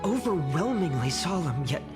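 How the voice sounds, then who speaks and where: A woman's voice: lowish voice, big pitch swings, moderate pace. A woman voicing a curious, earnest and thoughtful young traveler in scripted game dialogue, with heavy reverb. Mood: curious, wondering. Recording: long hall reverb over music.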